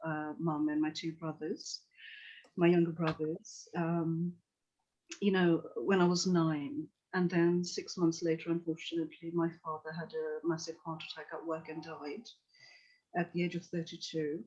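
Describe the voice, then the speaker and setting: A middle-aged woman from Tanzania speaks earnestly and reflectively, circling back with "you know" as she recounts her past. Her pitch stays level and even. The call audio sounds dull and lo-fi. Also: normal speed. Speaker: psychotherapist guest. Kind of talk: podcast interview storytelling.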